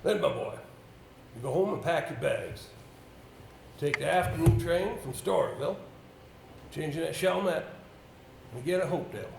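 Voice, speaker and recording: Guy acting old lawyer boss onstage, creaky voice, rambly and fatherly, echoey room w some hiss. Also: a little high, lively intonation, normal speed, pauses often. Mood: musing, avuncular. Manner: hesitant, musing, throat-clearing. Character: avuncular older male solicitor, senior boss, rambling, brisk. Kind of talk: amateur stage play acting. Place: indoor stage, picked up with room sound. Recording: roomy, noisy amateur theatre recording.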